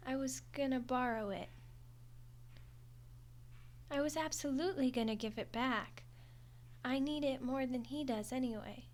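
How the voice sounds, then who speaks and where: A woman's voice: high voice, a little mellow, a little hiss or hum. A woman voicing a friendly, awkward undead skeleton girl in an audio roleplay, chatty and hesitant with a wry, defensive streak. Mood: defensive, flippant. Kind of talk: audio roleplay monologue to the listener.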